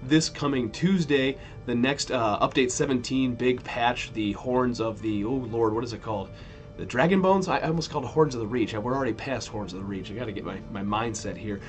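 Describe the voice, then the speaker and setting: A man in his thirties hosting a weekly gaming news show in a relaxed, rambling way, correcting himself as he goes while still sniffly from a cold. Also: medium pitch, a little fast, faint music behind. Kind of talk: casual news roundup.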